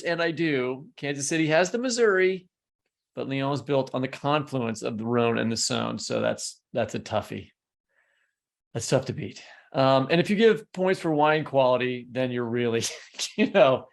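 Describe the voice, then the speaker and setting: A man's voice: medium pitch, vocal fry. A man with an American accent co-hosting a podcast in a conversational, informal style. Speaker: podcast co-host.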